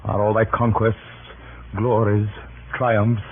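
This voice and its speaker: A man's voice: lowish voice, little pitch movement, slow. A Roman man mourning his slain friend and burning for revenge. The delivery is solemn, grand 1930s radio Shakespeare. It comes through a thin, narrow-band broadcast recording with surface noise. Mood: grieving. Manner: solemn, declamatory. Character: grieving, vengeful Roman friend of the slain ruler.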